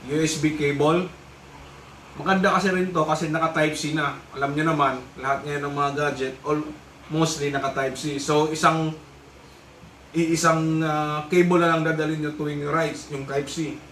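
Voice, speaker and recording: A man's voice: on the high side, flat delivery, normal speed, fairly bright tone. A man with a Filipino accent giving a casual, explanatory product review, often repeating himself as he goes. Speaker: vlogger reviewing a motorcycle intercom. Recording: echoey room, distant mic, faint noise.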